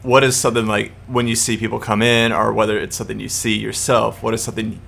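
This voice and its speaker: A man asks rambling interview questions in a casual tone. His voice is low and gravelly, a little distant from the mic, with faint noise behind him. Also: little pitch movement, fast, fairly bright tone. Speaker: podcast co-host.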